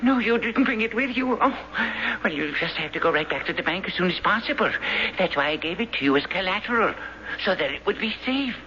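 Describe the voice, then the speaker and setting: Theatrical, agitated eccentric, swooping pitch. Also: male voice, lively intonation. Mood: agitated, fearful. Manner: theatrical, halting, insistent. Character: hunted, eccentric Englishman, agitated yet slyly persuasive. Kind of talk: 1970s radio drama acting. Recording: dull, noisy old radio broadcast.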